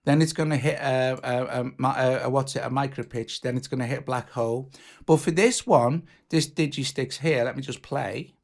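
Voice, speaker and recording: A man giving an informal, enthusiastic walkthrough as a music software tutorial presenter, talking casually. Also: mid-range voice, fairly even intonation, moderate pace, creaky. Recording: music playing behind the voice. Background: drum machine and instruments.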